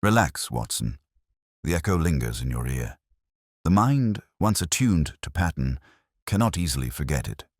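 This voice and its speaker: A man's voice: extremely low pitch, sing-song, moderate pace, no room sound, clean studio sound, full character voice. A man voicing a coolly precise, commanding Victorian detective with curt, cerebral delivery in an audiobook. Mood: calm, reflective. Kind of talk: audiobook character dialogue.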